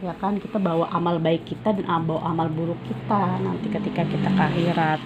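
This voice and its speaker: A woman's voice: on the low side, fairly even intonation, fairly quick, lo-fi sound. Informal woman storyteller, noisy background. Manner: informal, explanatory. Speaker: storyteller reading aloud. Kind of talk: storybook reading with casual explanations.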